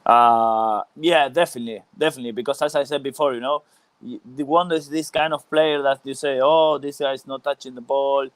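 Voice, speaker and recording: Guy being interviewed, smooth clear voice, halting, repeats stuff, dry sound. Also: medium pitch, steady tone, dry recording. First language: Spanish. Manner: halting, searching for words, repeats phrases. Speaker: former professional footballer, interview guest. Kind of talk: casual live interview.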